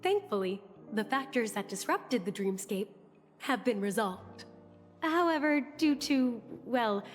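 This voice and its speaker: Woman as a formal, high-voiced attendant, reverberant. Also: lightly acted. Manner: formal, courteous, measured. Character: formal, deferential female airship attendant. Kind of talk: video game voice acting.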